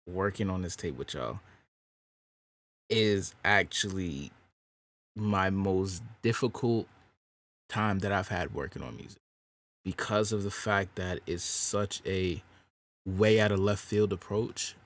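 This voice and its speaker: A young man with a deep voice, chatting casually about making his music and saying "bro" a lot. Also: takes it slowly, long pauses, a bit bright. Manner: loose slangy talk, says "bro" a lot. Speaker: rapper and singer.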